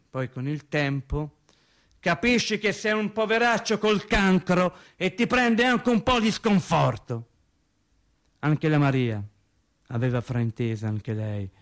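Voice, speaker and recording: An actor playing a naive working-class man in a radio drama monologue, telling his sad story in a colloquial, halting, wry way. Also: high-pitched, no room sound, clean studio sound, heavily acted. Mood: wry, bewildered. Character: naive working-class man recounting his cancer and death, resigned, tender.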